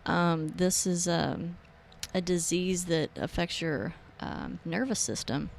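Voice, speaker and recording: A woman in her forties, a drummer and music educator, speaking as a relaxed guest in a radio interview. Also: fairly low pitch, takes it slowly, vocal fry, slight background noise. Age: 40s.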